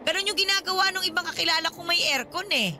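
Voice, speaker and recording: A woman's voice: extremely high pitch, fast talker, bright, forceful tone, a little hiss or hum, heavily acted. An actress playing a pushy, freeloading older godmother in a radio drama, with a wheedling, theatrical delivery. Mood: cajoling, sweet. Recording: music bed behind the voice.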